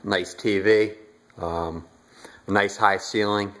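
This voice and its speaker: A young man giving a casual walking tour of his house, relaxed and halting with lots of fillers, recorded on a handheld phone indoors. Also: fairly low pitch. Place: inside a house, walking room to room. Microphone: handheld phone camera, filming rooms.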